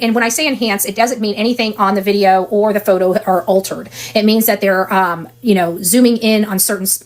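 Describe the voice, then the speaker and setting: A woman's voice: medium pitch, rough recording. A woman hosting an online case commentary channel, speaking casually in a rambling, opinionated way with emphatic repetition. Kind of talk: casual true-crime case commentary livestream.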